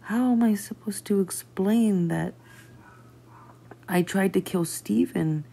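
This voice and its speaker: Woman playing an excitable, anxious childlike cartoon gem, raspy. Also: mid-range voice, slow pace, full character voice. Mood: panicked, anxious. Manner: stammering, slangy, flustered. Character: excitable, anxious, childlike female gem playmate in comic dub. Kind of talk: amateur cartoon comic dub.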